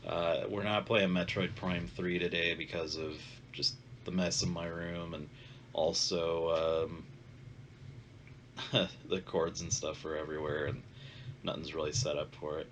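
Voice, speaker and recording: A man with a deep, stuffy, nasal voice talks loosely over a game, trailing off and filling pauses as he goes. He sounds a bit worn out. Steady keyboard and mouse clicks come through clearly behind him on the headset mic. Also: slight background noise. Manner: rambling, many filler sounds, self-deprecating. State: congested, nasal; tired. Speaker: gaming channel host. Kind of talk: casual gameplay commentary. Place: home bedroom. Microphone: gaming headset.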